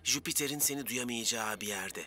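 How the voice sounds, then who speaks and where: A man's voice: medium pitch, brisk, bright, forceful tone. An actor playing an earnest, goofy young fireman in a cartoon dub, with a chatty manner. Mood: fussy, protective. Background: background music.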